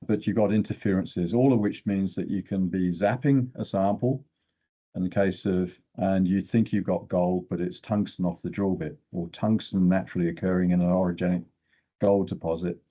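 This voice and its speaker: A man's voice: low-pitched, fairly even intonation, normal speed, warm tone, lo-fi sound. An older man speaking as a guest expert, explaining technical material in a plain-spoken way with short emphatic phrases. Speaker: guest expert in exploration geochemistry.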